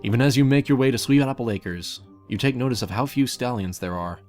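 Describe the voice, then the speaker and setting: A man's voice: medium pitch, fairly quick, a little mellow. A man reading scripted fan fiction as the narrator. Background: music.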